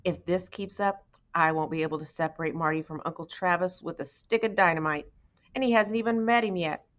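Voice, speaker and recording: A woman's voice: on the low side, a little fast, fairly bright tone, lightly acted. A bookish young girl in a storybook, anxious and grim, played by a woman reader. She grumbles with dry sarcasm, on a slightly muffled recording. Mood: grim, sardonic.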